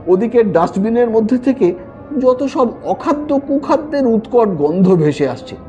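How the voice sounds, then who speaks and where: A man narrates a classic tale dramatically. His pitch moves in lively swings, and the tone stays soft and mellow. Faint ambient noise sits behind him. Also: lo-fi sound. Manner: expressive, theatrical storytelling. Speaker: story narrator. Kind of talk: dramatic audio-story narration of classic fiction.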